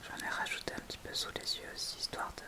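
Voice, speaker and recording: A woman whispering breathily and gently in a casual ASMR tutorial style, explaining step by step without hurry, close to the microphone. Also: quick pace. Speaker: ASMR video creator.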